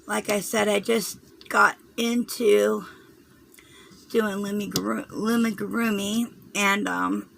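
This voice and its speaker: Slow, gravelly Southern woman with a cold. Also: medium pitch, steady tone, fairly bright tone, slight background noise. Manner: rambling, clears throat often. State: hoarse, fighting a cold. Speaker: craft tutorial host. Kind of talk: chatty loom tutorial.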